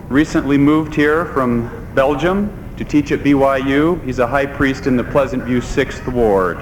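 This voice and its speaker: Man announcing speakers in an echoey hall. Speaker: meeting conductor. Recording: echoey hall with faint rumble.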